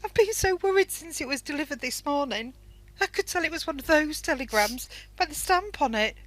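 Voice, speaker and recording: An actress playing a worried, sharp-tongued wartime wife and mother in an amateur radio drama, anxious and guarded. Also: sits high, lively intonation, a little fast, dry recording, poor audio. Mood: scared, tearful.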